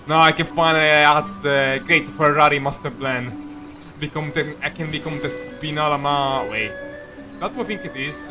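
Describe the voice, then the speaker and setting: Halting man rambling over game music. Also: on the high side. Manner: halting, repeats words, jokey. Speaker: game streamer. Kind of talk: casual rambling gameplay commentary.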